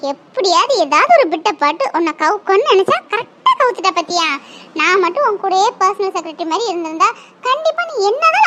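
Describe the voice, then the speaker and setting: Woman playing a squeaky-voiced young woman in love. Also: fairly bright tone, a little hiss or hum, heavily acted. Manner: pleading, tearful, half-laughing. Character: young woman pleading with her drunk lover.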